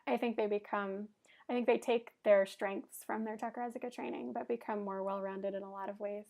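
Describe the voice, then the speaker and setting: A woman co-hosting a podcast in relaxed casual chat, with frequent "um"s. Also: medium pitch, fairly quick, gravelly. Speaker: podcast co-host.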